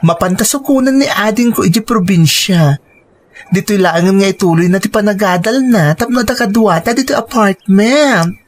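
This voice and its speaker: A woman's voice: mid-range voice, normal speed, natural voice. A woman with an Ilocano accent acting an earnest, persuasive young working woman in a radio drama.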